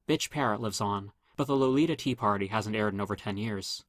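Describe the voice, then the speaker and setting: A man reading a scripted first-person horror story as narrator, in a dramatic, measured storytelling manner. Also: fairly low pitch, a bit bright, no room sound, studio quality.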